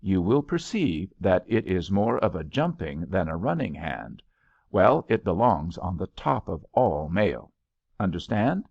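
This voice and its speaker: A man playing a self-assured young male architect in an audiobook, brisk and whimsically pompous. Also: sits low, sing-song, gravelly, darkish tone, dry recording, dull audio, exaggerated voice.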